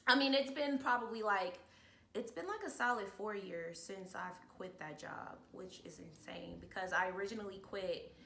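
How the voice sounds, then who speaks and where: A woman in her early thirties chats casually as an interview guest. Her voice has a medium pitch. It is recorded in an echoey room with faint background noise. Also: normal speed, vocal fry, bright, forceful tone. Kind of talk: casual personal chat.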